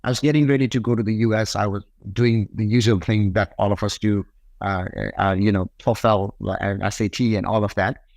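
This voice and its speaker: A man's voice: lowish voice, steady tone, darkish tone, no room sound. A man from Nepal with a Nepali accent, a company head telling his story as a podcast guest, with lots of fillers and a mix of English and Nepali. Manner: rapid, many fillers, switches between English and Nepali.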